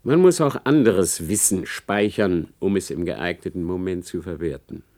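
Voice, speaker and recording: An actor playing a spiteful, scheming academic in a German radio play, haughty, biting and emphatic. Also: male voice, sits low, expressive, normal speed, a little mellow. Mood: coldly threatening. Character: spiteful, scheming male academic, arrogant and vindictive.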